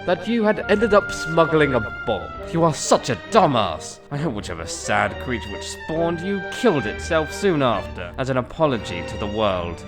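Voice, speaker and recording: A man's voice: mid-range voice, big pitch swings, normal speed, hardly any pauses, lightly acted. A man playing a sarcastic, fed-up young man. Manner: sarcastic, exasperated. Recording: music behind.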